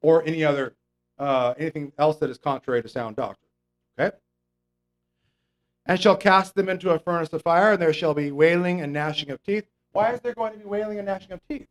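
A man with a fairly high voice delivers a conversational Bible lesson. He explains scripture step by step, with fillers and frequent "okay?" checks to the congregation. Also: fairly quick. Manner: mocks imagined religious people in a raised voice. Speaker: preacher. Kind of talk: conversational Bible teaching sermon.

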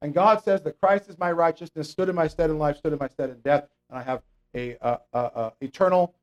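High-voiced man teaching a sermon. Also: a little fast, dull audio. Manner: explains scripture with frequent "okay?" check-ins and fillers; mocks imagined religious people in a raised voice. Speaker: preacher. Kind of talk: conversational Bible teaching sermon.

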